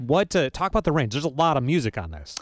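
A man hosting a radio call-in show, speaking in a brisk, friendly way into a studio microphone. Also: fairly high pitch, big pitch swings, dry recording. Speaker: radio host.

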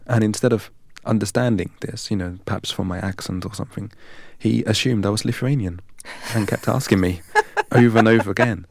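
A man from England, a guest in an interview, telling his life story in a measured, precise and earnest way. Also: fairly low pitch, creaky, no echo. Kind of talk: reflective personal storytelling.

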